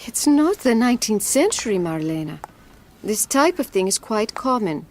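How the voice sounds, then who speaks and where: A woman plays a coolly confident persuader in an audio drama, speaking at a middle pitch. She presses her case with smooth reassurance and firm insistence, with noticeable background noise around her. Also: very animated, moderate pace, lightly acted. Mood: reassuring, insistent.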